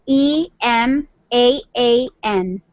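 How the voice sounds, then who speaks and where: A woman's voice: a little high, extremely slow, no echo. A woman speaking as a guest poet on an online livestream. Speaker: guest poet and volunteer editor. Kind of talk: poetry reading and casual discussion on a livestream. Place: indoors on an online group call. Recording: dull lo-fi online call audio.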